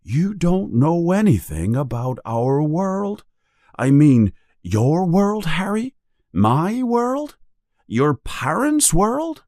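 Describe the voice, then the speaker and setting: A man voicing a booming giant gamekeeper in a storybook, hot-tempered yet kindly, with an emphatic, exclaiming way of talking. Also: sing-song, takes it slowly, a little mellow, no echo, clean studio sound. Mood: outraged.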